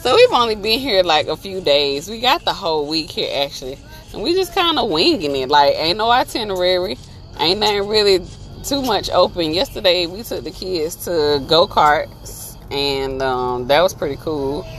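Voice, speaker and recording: A woman's voice: fairly low pitch, animated, moderate pace. A woman in her thirties chatting casually for a travel vlog from inside a moving car, laughing often. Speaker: vlogger.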